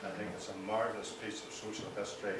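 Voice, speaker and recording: A man giving an informal public speech as presenter of a local event, in a halting, measured way. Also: on the low side, little pitch movement. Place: large indoor hall at a public presentation. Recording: echoey hall, distant mic, audience noise.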